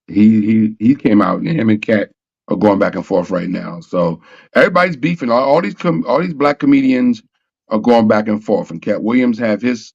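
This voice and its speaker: A man's voice: mid-range voice, gravelly, dry recording, lo-fi sound. A man hosting a casual panel talk show, arguing emphatically and repeating himself as he builds his point. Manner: repetitive, emphatic, argues with rhetorical questions.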